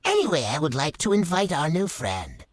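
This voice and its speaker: A woman's voice: low voice, sing-song, normal speed, dry recording. A woman hosting a playful, improvised puppet show in a theatrical manner.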